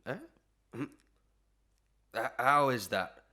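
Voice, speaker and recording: A man's voice: medium pitch, slow pace, pushed and bright. A man playing a pompous, stammering comic beadle who talks in flowery phrases, in a Victorian melodrama. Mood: nervous. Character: pompous, lovestruck comic beadle with genteel pretensions. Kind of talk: Victorian melodrama audio acting.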